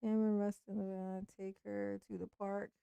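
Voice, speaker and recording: A woman's voice: medium pitch, level tone, normal speed, vocal fry, mellow, smooth, no room sound, lo-fi sound. A woman in her forties chatting casually on a live stream, speaking bluntly and profanely with frequent restarts and laughs. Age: 40s.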